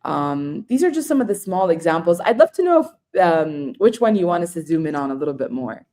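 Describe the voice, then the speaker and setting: A woman's voice: fairly low pitch, sing-song, fairly quick, warm tone. A woman explaining ideas on a webinar, often checking in with listeners. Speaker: webinar guest.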